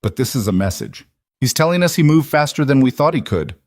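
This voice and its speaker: A man voicing a controlled, quietly intense mafia boss in an audiobook, terse and grave. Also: mid-range voice, very animated, brisk, no echo, pitched above the performer's own voice, close to an everyday voice. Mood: grave, urgent. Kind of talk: audiobook character dialogue.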